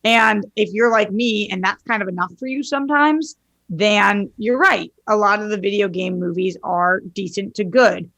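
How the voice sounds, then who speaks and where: Female podcast panelist with gravelly fry, casual and opinionated, loose with profanity, recorded dry. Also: medium pitch, normal speed, a bit bright, no room sound. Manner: informal, sweary.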